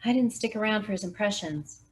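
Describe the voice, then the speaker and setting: A woman's voice: medium pitch, a little fast, slight background noise, voice pushed up, close to an everyday voice, poor audio. A woman reading aloud, voicing a weary, guarded male lawman who speaks matter-of-factly.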